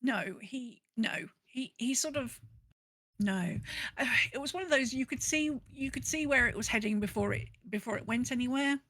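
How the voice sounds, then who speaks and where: A woman's voice: fairly high pitch, normal speed, fairly bright tone, dry recording. A woman co-hosting a podcast, chatting casually and reading out listener submissions. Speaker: podcast co-host.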